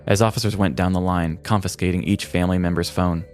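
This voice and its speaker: A man's voice: deep voice, fairly even intonation, fast, soft background music. A man hosting a podcast, reading a scripted, tongue-in-cheek true-crime story in a storyteller's manner. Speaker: podcast host. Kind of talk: scripted dramatic true-crime narration, comedic.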